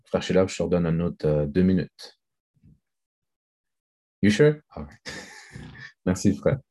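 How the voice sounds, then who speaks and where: A man moderating a group discussion, relaxed and mixing in English phrases. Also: low voice, slow pace.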